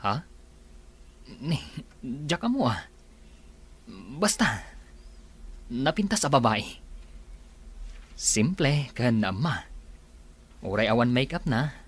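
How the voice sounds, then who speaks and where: A man's voice: medium pitch, sing-song, slow, pauses often, slightly rough, full character voice. A man with an Ilocano accent playing a smitten, confident office boss in a radio drama, flirtatious and playful. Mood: dreamy, distracted.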